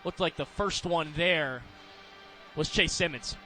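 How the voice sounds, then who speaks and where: Fast, bright-voiced male football play-by-play announcer. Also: on the high side, faint music behind. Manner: rapid clipped calls that build in excitement. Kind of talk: live American football radio commentary.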